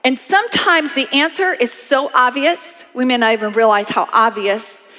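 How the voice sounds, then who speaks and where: Woman pastor, late fifties, high voice, lively conversational preaching, reverberant sanctuary. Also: normal speed. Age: 50s. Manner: animated, conversational preaching with congregation call-outs. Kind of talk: sermon. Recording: long hall reverb.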